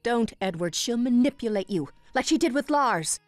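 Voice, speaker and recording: A woman's voice: fairly high pitch, animated, moderate pace, dry recording, soft background music, close to an everyday voice. A woman playing a vengeful young warrior in a video game, intense and accusatory. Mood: urgent warning. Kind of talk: video game drama acting.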